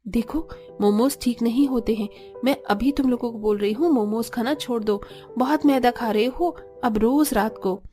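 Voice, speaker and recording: Woman as an exasperated, high-voiced young daughter-in-law. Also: normal speed, warm tone, soft background music, close to an everyday voice. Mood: scolding. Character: young married daughter-in-law, patient but exasperated, scolding. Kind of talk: Hindi cartoon story acting.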